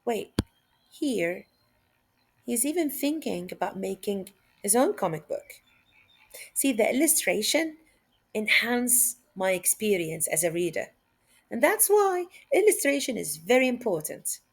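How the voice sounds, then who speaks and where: Female teacher, mid-pitched, unhurried read-aloud delivery for kids, deliberate and expressive, with explanatory asides. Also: gravelly, slightly rough. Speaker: teacher reading a story aloud. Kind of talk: read-aloud lesson for children.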